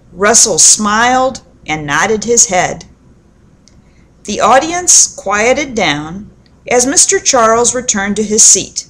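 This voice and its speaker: Lady reading a kids story out loud, slowish, kinda high voice, dramatic pauses. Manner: deliberate, enunciated storybook delivery with dramatic pauses. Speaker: storyteller reading aloud to children. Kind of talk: expressive children's story narration.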